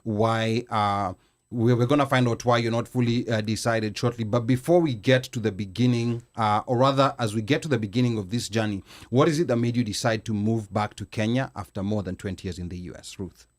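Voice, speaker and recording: A man's voice: on the low side, fairly even intonation, fairly quick. A man hosting a podcast interview, asking long questions with many fillers and restarts. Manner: fills with "okay" and "uh", restarts questions. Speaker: podcast host.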